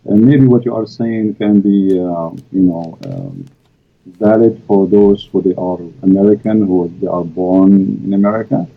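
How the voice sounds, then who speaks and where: Man, native Arabic speaker, low gravelly voice, hesitant with many fillers, tinny phone-like sound. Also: animated, soft background music. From Middle East. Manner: halting, many fillers, non-native grammar. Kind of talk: casual live-stream conversation. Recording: tinny call audio.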